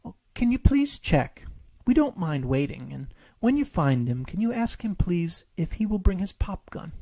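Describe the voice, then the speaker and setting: Guy doing a sweet polite toy bear for a kids story, pitched up, kinda simple and unhurried. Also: big pitch swings, creaky, mellow, full character voice. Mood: friendly.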